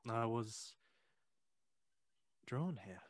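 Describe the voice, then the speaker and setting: Courteous Victorian gentleman, dry sound. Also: medium pitch, animated, very slow, no room sound. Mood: thoughtful. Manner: polite, formal, inquisitive. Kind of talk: audio play acting.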